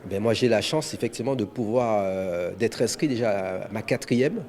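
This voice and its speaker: A man's voice: on the low side, moderate pace, darkish tone. A man from Guadeloupe, an amateur sailor, speaking in a press interview with many hesitations and restarts.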